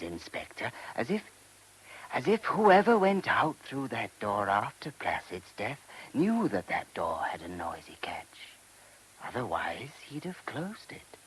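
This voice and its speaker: An actor playing an earnest, careful monk with a husky voice, giving testimony in a radio play. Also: male voice, medium pitch, fairly bright tone, slight background noise, rough recording. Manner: hesitant, careful.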